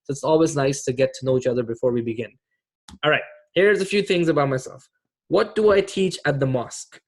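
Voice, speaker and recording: Friendly imam teaching teens on a video call. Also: male voice, fairly high pitch, fairly quick. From Pakistan. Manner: casual and friendly, many fillers. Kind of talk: online class for teens with a quiz.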